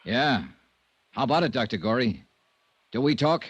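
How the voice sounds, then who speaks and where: A man playing a brisk, dogged, wry insurance investigator in a 1950s radio detective drama. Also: medium pitch, animated, husky, dry recording, muffled recording. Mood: pressing. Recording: old radio drama recording.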